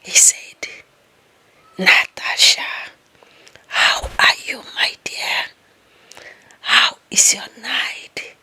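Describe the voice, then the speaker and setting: A woman with an African accent playing a lovestruck, bossy, deluded young office employee in a whispered ASMR roleplay, speaking slowly and dramatically. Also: bright, forceful tone, exaggerated voice. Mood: dreamy, smitten. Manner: slow, dramatic, gossipy whispering.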